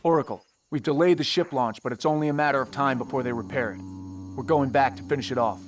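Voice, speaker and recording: A man's voice: mid-range voice, fast, recorded from a distance, lightly acted. A man playing a stern, decisive squad commander in a video game, giving terse, authoritative orders. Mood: resolute. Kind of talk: video game cutscene acting.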